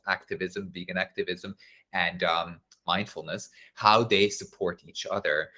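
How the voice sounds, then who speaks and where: Male host, forceful bright tone, relaxed and talkative on a live stream. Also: sits low. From Switzerland. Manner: casual and chatty, laughing easily, with many fillers. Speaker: masterclass host. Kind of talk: live-streamed online class, reading viewer comments.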